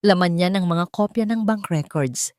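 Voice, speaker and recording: A woman's voice: on the low side, normal speed, a little mellow, no room sound, studio quality. A woman reading a scripted dramatic story as its narrator.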